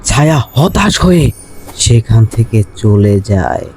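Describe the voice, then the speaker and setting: A man's voice: medium pitch, big pitch swings, a little slow, background noise. A man narrating a scripted children's fairy tale as a storyteller.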